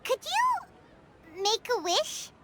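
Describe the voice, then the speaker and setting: A tiny, squeaky-high girlish fairy sidekick. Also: wildly swooping pitch, drawn-out words, background noise, exaggerated voice. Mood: hesitant, coaxing. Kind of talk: video game voice acting.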